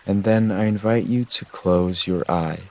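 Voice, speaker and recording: A man with a low voice leads a guided meditation. He speaks very slowly, in a gentle and soothing way, giving measured instructions.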